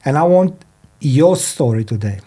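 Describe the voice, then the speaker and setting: Mellow male podcast host, non-native English. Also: fairly high pitch, animated, unhurried, breathy edge. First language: not English. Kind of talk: podcast interview, introducing and questioning a guest. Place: studio.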